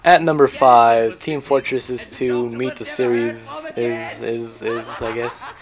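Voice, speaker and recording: A man's voice: medium pitch, little pitch movement, takes it slowly, music in the background. A man giving casual, informal and opinionated commentary as the host of a video game countdown.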